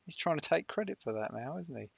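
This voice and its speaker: Gravelly Sunderland man, joking. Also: on the high side, lively intonation, fast, no echo, muffled recording. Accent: Sunderland English. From Sunderland, England. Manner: blunt, joking, repeats himself. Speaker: streamer hosting a geography guessing game. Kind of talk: casual banter while playing.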